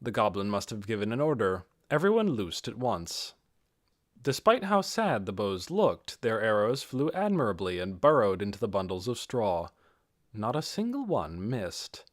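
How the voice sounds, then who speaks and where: Male audiobook narrator, fantasy storytelling, animated pitch, dry recording. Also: moderate pace, no echo. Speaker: audiobook reader.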